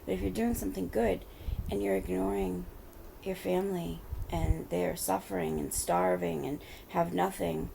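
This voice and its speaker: Hoarse, gravelly low-voiced woman. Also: fairly even intonation, mellow, a little hiss or hum, low-quality recording. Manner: halting, rambling, many fillers. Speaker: vlogger. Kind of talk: personal confessional vlog. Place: at home.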